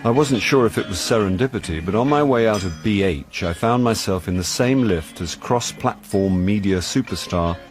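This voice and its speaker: An actor playing a wry, ageing jobbing actor turned amateur sleuth in a radio drama, dry and self-deprecating. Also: male voice, lowish voice, lively intonation, moderate pace, hardly any pauses, over music, natural voice. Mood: wry narrating. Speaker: actor playing narrator and lead. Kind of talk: radio drama narration and dialogue.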